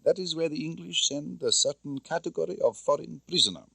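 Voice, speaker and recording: Audiobook villainess: cold older female Soviet intelligence officer, played by a man, pitched high, commanding, formal, dry close recording. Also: brisk, vocal fry, bright, forceful tone, husky, no echo, exaggerated voice. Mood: stern, commanding. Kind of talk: audiobook character acting.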